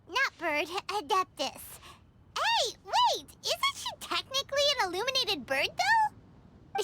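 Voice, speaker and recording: An actress voicing a tiny, childlike, excitable girl companion in a video game, with a squeaky, expressive, cheeky delivery. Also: very noisy, full character voice. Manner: squeaky, expressive, halting when puzzled. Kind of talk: cartoonish video game character acting. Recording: playful music loudly behind.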